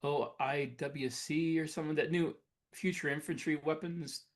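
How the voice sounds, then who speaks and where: A man co-hosting a podcast, talking casually and conversationally with halting, searching phrasing. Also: a little high, steady tone. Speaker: podcast co-host, science fiction author.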